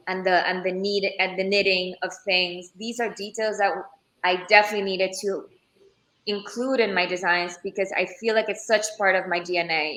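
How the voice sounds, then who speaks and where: A woman's voice: medium pitch, fairly bright tone, echoey room, low-quality recording. A young woman from Ecuador, a leather craftswoman, answering interview questions thoughtfully and often searching for the right word, as if on a video call. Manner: thoughtful, searching for words, many restarts. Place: Florence, Italy.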